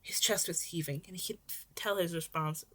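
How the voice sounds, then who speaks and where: Female podfic narrator, lower-than-average register, scripted story reading. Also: a little fast, bright, forceful tone.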